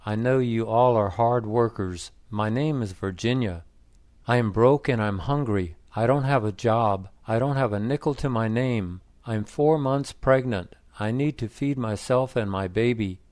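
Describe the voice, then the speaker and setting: Man reading as a pleading raspy woman beggar. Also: mid-range voice, measured pace, a little mellow, dry recording, close to an everyday voice. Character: woman panhandler around forty, pleading.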